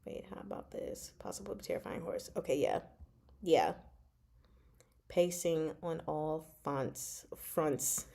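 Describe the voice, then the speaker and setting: Slow-paced, expressive woman chatting about books. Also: sits low, gravelly. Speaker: book discussion vlog host. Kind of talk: casual live book chat.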